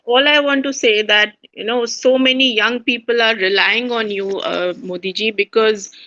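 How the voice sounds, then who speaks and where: A woman speaks earnestly over a video call in a bright voice. She shares a note she has just written. The call audio is dull. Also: a little high, fairly even intonation, normal speed. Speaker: caller on live show.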